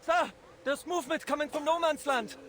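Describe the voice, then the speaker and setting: Young nervy german private, super high voice, eager and deferential, war game, faint noise behind. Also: male voice, lively intonation, a little fast, fairly bright tone. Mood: eager, uneasy.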